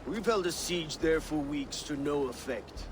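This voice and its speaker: An actor playing a commanding, dry-witted Viking war leader in a video game cutscene, blunt and authoritative. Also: male voice, a little high, a little slow, noisy background, lightly acted. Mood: resolute.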